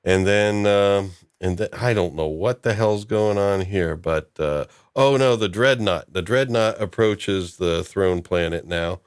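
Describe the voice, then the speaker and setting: A man hosting a casual discussion, recapping in a halting, loosely improvised way. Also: sits low, clean tone, no room sound, clean studio sound. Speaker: host of a discussion show.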